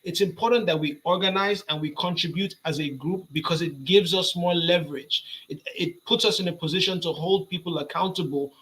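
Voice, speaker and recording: A young man speaks on a video call with a bright, forceful voice pitched a touch high. He urges and repeats his points with steady energy, like a rally speaker. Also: fairly quick, hardly any pauses. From Nigeria. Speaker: guest speaker.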